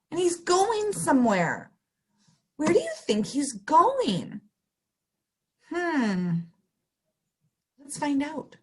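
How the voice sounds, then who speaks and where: A woman hosting story time, reading to young children in an exaggerated, sing-song, interactive way. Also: sits high. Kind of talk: animated picture-book read-aloud for young children.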